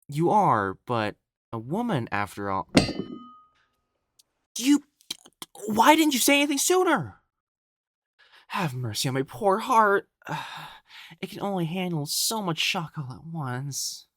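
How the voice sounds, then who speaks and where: A man's voice: on the high side, big pitch swings, takes it slowly, pauses often, a bit bright, no echo, studio quality, heavily acted. A man acting in a scripted audio roleplay as an elegant, pompous, easily exasperated young matchmaker of ancient China, speaking in formal, old-fashioned phrases. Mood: shocked, flustered.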